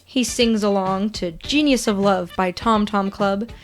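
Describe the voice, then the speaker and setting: A woman reading a story aloud as expressive, scripted second-person narration. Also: medium pitch, measured pace, soft background music. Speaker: podfic reader.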